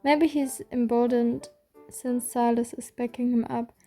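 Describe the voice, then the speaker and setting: A woman reading a game's story aloud in a steady first-person voice. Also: a little high, a little slow, faint music behind. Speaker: reader.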